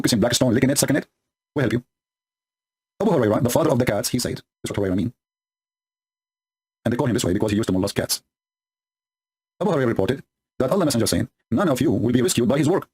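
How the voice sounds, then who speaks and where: Husky sped-up man, mocking. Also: mid-range voice, a little mellow. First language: Arabic. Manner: sarcastic and mocking, broken English grammar. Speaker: religious polemic commentator. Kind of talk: commentary reading and mocking scripture. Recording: sped up to double speed.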